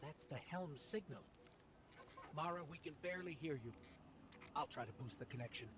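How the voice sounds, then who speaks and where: A man's voice: fairly high pitch, normal speed, natural voice. A man voicing a small, loyal robotic companion in a video game, speaking earnestly, thoughtfully and reassuringly. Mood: urgent, focused. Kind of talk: video game dialogue acting.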